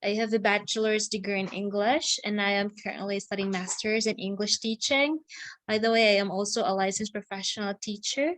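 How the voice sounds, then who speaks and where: A woman's voice: mid-range voice, steady tone, brisk, a bit bright, no echo. Encouraging young Filipino woman teacher. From Philippines. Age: 20s. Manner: friendly, encouraging, often tags questions with "right". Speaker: English teacher. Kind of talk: casual online English lesson.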